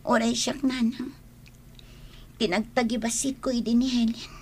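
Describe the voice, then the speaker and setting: A woman's voice: fairly high pitch, steady tone, moderate pace, husky. A woman with an Ilocano accent playing a bitter, scolding grown daughter in a radio drama. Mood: resentful.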